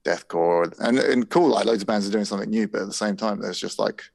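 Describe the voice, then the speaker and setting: A man from the UK, a guest musician, talking in a relaxed, modest way and sounding tired. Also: fairly low pitch, quick pace, gravelly, no echo, clean studio sound. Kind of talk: casual interview chat.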